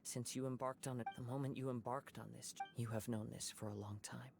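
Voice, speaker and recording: A man playing a calm, poetic young wandering swordsman in a video game, speaking in a measured, formal, reflective way. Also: medium pitch, gravelly, noisy background, lightly acted. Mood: reflective, composed. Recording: loud game music over voice.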